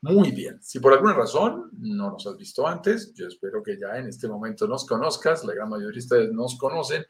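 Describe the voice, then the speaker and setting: A man's voice: mid-range voice, sing-song, normal speed, hardly any pauses. Colombian male presenter, middle-aged, mid-range voice; explanatory live-stream delivery, talks straight to the viewer, some "eh" fillers. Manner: explanatory, addresses viewer directly, frequent "eh" fillers. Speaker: real estate investment presenter.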